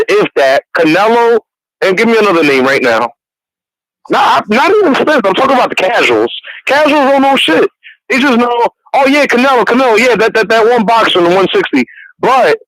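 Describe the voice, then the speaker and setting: A young man from New York City rambles through a phone call, restarting his sentences and laughing easily. His voice sits fairly high. The sound is dry, with little room around it. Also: fairly bright tone, no echo. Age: 20s. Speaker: caller. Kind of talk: casual call-in chat.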